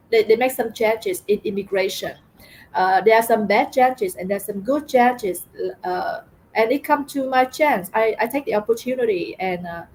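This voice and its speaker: A middle-aged woman from Vietnam with a Vietnamese accent, telling her story as an interview guest, halting with many fillers and repeated words. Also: a little high, darkish tone, slight background noise, low-quality recording.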